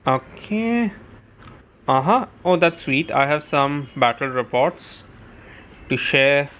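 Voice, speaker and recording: A man's voice: a little high, lively intonation, takes it slowly, fairly bright tone. A man giving casual, chatty live commentary on a game as a streamer, thinking aloud as he goes.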